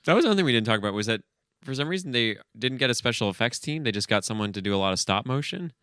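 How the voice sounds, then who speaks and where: A man's voice: mid-range voice, lively intonation, fast, bright, forceful tone, smooth, no echo, studio quality. A man co-hosting a podcast, chatting casually about films in a rambling, sometimes profane way. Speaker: podcast co-host. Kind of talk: casual film review chat.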